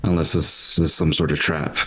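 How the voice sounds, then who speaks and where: A man playing a tabletop game, improvising his character's thoughts and actions in a halting, pensive way. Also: a bass voice, flat delivery, a bit bright, husky, poor audio.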